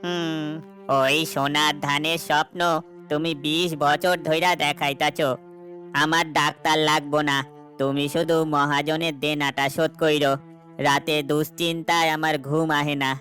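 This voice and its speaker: A man plays a weary, anxious farmer's wife in a village cartoon. The voice is plaintive and fairly even in pitch, with a rural Bangladeshi Bengali accent, over clearly audible music. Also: moderate pace. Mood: weary worry. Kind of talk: cartoon voice acting.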